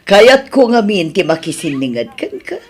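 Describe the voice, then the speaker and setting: A woman's voice: medium pitch, sing-song, moderate pace, slightly rough. A woman with an Ilocano accent acting a forward, flirtatious admirer in an audio drama. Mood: flirtatious, coy.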